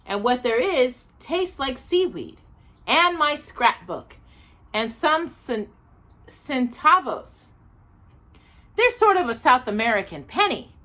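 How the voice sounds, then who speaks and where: A woman plays an earnest little boy bear from a storybook. The voice is high and bright, with lively swings of pitch and very polite, matter-of-fact phrasing. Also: takes it slowly, full character voice. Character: polite, earnest small male bear from Peru.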